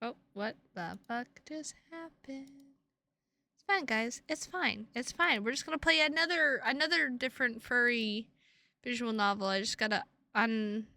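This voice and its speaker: A woman live streaming games, chatting casually with viewers in an excitable, sweary way and repeating her phrases for emphasis. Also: on the high side, normal speed. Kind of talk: casual livestream chat with viewers.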